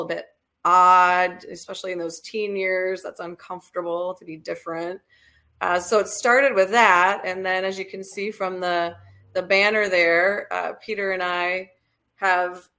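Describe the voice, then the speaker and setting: A woman professor answering interview questions, warm and laughing easily. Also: lowish voice. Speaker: guest, professor.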